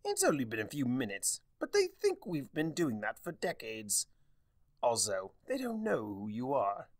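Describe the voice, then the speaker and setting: A man voicing a theatrical, sardonic, mischievous male chaos spirit with a wry, drawn-out delivery in a fan fiction reading. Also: medium pitch, wildly swooping pitch, no room sound, studio quality, exaggerated voice.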